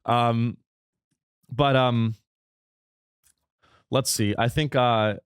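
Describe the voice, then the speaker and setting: A man's voice: fairly even intonation, extremely slow, smooth, no echo, studio quality. Rambling irreverent podcast host losing his voice. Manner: rambling, irreverent, swearing, with sudden high pitch jumps. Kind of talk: solo comedic podcast monologue.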